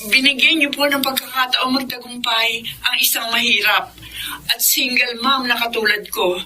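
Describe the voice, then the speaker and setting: A woman's voice: a little high, fairly even intonation, moderate pace, noisy background, lightly acted. An actress playing a humble, devoted single mother speaking earnestly and emotionally in a Filipino radio drama. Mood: grateful. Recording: music behind the voice.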